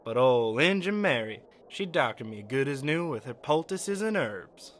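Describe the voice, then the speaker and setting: An actor playing a swaggering young cowboy sweetheart in a Western radio drama, cocky and folksy. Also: male voice, mid-range voice, big pitch swings, moderate pace, a little hiss or hum, close to an everyday voice.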